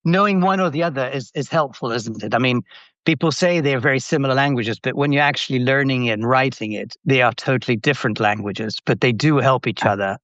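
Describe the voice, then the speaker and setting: A man from Brazil guesting on a business podcast, friendly and reflective, with a voice of ordinary middle pitch. His sound comes through a slightly dull, lo-fi line, dry and close. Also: a little fast, a bit bright, no echo. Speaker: guest, company CEO. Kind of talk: casual podcast interview.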